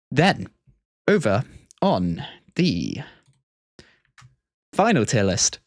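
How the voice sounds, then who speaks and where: A man with a fairly low voice hosts a livestream in casual, methodical explanation. The sound is close and dry, with very little room around him. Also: no room sound. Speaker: livestream host. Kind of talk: casual scripted-free stream commentary and explanation.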